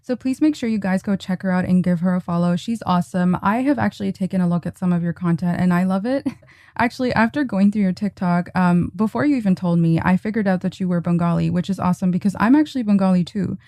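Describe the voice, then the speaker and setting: A woman hosting a podcast, introducing her guest gently and asking questions. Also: fairly low pitch, fast, no room sound, clean studio sound. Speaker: podcast host. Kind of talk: podcast interview.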